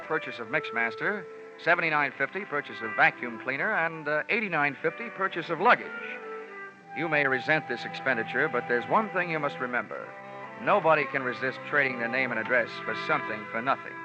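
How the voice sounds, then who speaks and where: An actor narrating as a wry insurance investigator in a 1940s radio drama, with clipped first-person narration. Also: male voice, a little high, expressive, a little fast, over music, natural voice. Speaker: narrator and lead detective. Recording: lo-fi old radio recording.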